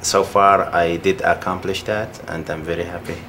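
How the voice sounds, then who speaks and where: Very deep-voiced man from Morocco. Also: normal speed, noisy background. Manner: relaxed, with fillers like "you know". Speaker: restaurant owner. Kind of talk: casual interview.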